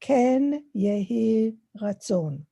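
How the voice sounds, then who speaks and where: A woman with an Israeli accent, reading a Hebrew blessing smoothly over a video call. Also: on the high side, lively intonation, moderate pace, mellow, dry recording. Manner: reads Hebrew prayer smoothly.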